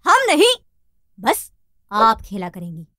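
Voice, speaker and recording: A woman's voice: a little high, wildly swooping pitch, takes it slowly, pushed and bright, a little hiss or hum, exaggerated voice. A woman voicing a boastful, mischievous young boy in a children's cartoon, theatrical and whiny when in trouble. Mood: sly, taunting.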